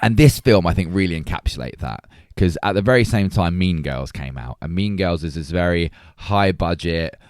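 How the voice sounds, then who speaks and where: Deep-voiced man chatting about films. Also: animated, moderate pace, few pauses, darkish tone, no room sound, studio quality. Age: 30s or early 40s. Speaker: podcast co-host. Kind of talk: casual film discussion.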